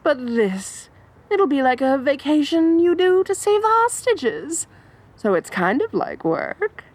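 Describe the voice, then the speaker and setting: A woman's voice: sits high, sing-song, slow, a little mellow, heavily acted. A woman voicing an obsessive, theatrical female supervillain in an audio roleplay, dramatic and giggly, swinging between coy and menacing. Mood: scheming. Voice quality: dramatic, giggly, sing-song.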